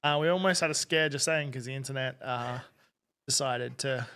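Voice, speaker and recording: A man's voice: mid-range voice, moderate pace, bright, forceful tone, smooth. A man hosting a podcast in a casual, chatty way, laughing often and wandering into asides. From Australia. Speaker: podcast host. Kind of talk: casual chat.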